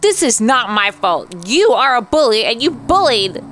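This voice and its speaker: A woman's voice: fairly high pitch, sing-song, measured pace, a bit bright, slight background noise, pitched above the performer's own voice. A woman voicing a bitter, frightened mutated boy in a video game, accusing and sarcastic in manner.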